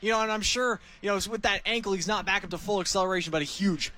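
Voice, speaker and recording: A man's voice: sits high, fast, sharp tone. An American man giving live football color commentary in conversational, explanatory run-on sentences. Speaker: color commentator, game analyst.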